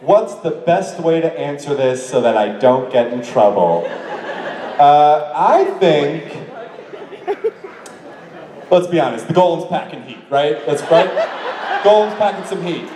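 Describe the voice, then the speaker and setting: A man's voice: fairly high pitch, expressive, dark tone. A man answering fan questions on a convention stage, joking and laughing. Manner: joking, laughing, slow and drawn-out. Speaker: convention guest. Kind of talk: stage Q&A with fans. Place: large convention hall stage. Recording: echoey hall, distant mic, crowd.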